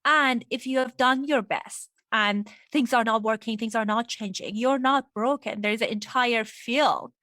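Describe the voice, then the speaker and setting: A woman's voice: on the high side, unhurried, hardly any pauses, fairly bright tone, no echo. A woman from Iran speaking as a psychologist guest, explaining things reflectively in an interview. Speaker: guest, clinical psychologist and sex therapist.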